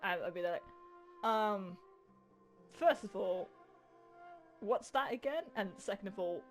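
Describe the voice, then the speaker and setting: A woman's voice: mid-range voice, lively intonation, measured pace, faint music behind. A woman doing casual gameplay commentary, chatty, sarcastic and prone to swearing. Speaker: gamer, let's player.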